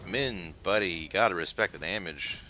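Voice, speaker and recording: A man's voice: sits low, moderate pace, crowd noise. A man giving casual color commentary on a live game match, talking in a hesitant, rambling way. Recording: noisy live-stream mic.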